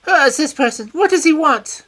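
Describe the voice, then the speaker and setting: Culverton Smith, a shrill petulant little man. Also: animated, normal speed, fairly bright tone. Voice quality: high, shrill, screaming voice. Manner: peevish, imperious outbursts. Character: small frail petulant scheming older man.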